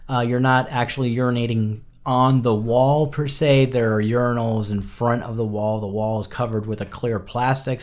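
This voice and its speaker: A man's voice: medium pitch, darkish tone. A man co-hosting a casual chat, rambling with wry asides. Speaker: co-host.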